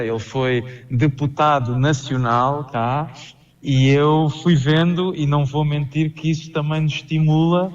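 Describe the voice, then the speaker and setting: A man with a European Portuguese accent, a political candidate answering interview questions over a remote call, measured and explanatory with some hesitations. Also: medium pitch, far from the mic, muffled recording. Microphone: call line.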